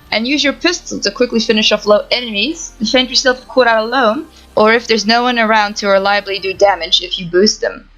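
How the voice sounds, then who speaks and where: A young woman from the Netherlands with a Dutch accent, calmly reading out answers to viewer questions for her gaming channel. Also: on the high side, poor audio. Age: young adult. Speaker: gaming YouTuber. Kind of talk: reading Q&A answers to camera, no face cam. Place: home setup, voice-over without camera.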